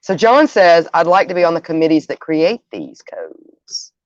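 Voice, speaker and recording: Lower-voiced woman host, dull dry recording. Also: very animated, moderate pace, dry recording. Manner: stumbles over words and corrects herself. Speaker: show host. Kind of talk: live show presentation, partly read from notes.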